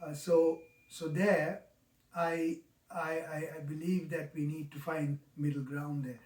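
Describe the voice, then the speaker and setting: A man in his sixties with a Sri Lankan accent gives a reflective, explanatory talk in an earnest, halting manner, stressing key words. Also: fairly high pitch, flat delivery, darkish tone. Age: 60s. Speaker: mindfulness teacher. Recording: roomy, echoing, distant microphone.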